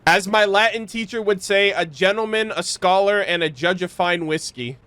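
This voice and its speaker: A man streaming a video game and reacting casually, in slangy, excitable commentary. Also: high voice, moderate pace, clean tone.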